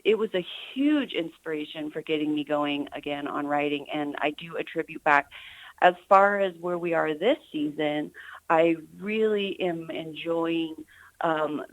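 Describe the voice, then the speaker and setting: A woman's voice: low-pitched, no room sound, poor audio. A middle-aged American woman giving earnest, enthusiastic answers as an author being interviewed on radio.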